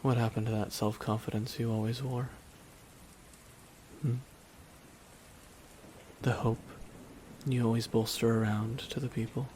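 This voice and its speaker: A man playing a wry, reflective supervillain in an ASMR audio drama, speaking slowly and softly with wistful pauses. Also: medium pitch, flat delivery, slight background noise, natural voice, poor audio. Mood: quietly taunting. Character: reflective male supervillain, wry, quietly softened toward his nemesis. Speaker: voice actor in audio roleplay. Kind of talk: ASMR dramatic roleplay monologue. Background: rain.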